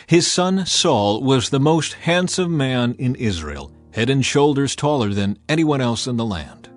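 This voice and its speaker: A man's voice: mid-range voice, sing-song, measured pace. A man narrating scripture as a scripted, dramatized audio reading. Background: music.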